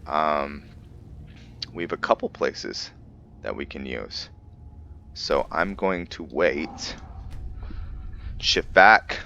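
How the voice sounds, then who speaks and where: A man giving casual gameplay commentary for a roleplay let's play, thinking aloud in a halting way. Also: rumbling low, lively intonation, vocal fry, pushed and bright, lo-fi sound, slight background noise.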